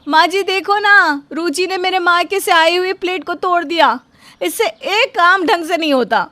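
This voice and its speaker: A woman's voice: extremely high pitch, moderate pace, pushed and bright, no echo, voice pushed up. A woman voicing a haughty, bickering elder daughter-in-law in a household story, boastful and theatrical. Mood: loud, bossy. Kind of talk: animated Hindi moral story dubbing.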